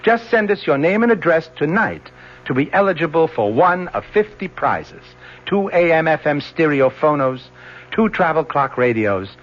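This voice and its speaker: A man reading a scripted radio announcement as the show's producer. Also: a little high. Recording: muffled old radio broadcast.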